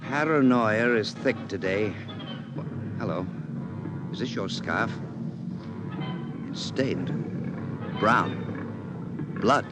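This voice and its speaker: An actor playing a wary, wry doctor in a 1950s radio drama. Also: male voice, lowish voice, lively intonation, extremely slow, pauses often, loud music behind the voice. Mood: wry, uneasy. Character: wary, wry doctor, a nervous male family friend.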